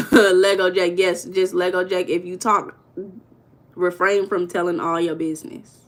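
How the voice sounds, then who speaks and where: A woman's voice: lowish voice, little pitch movement, measured pace. A woman from Los Angeles with an American accent, hosting a casual livestream and reading viewer comments aloud with teasing, slangy banter. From Los Angeles, USA. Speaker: livestream host.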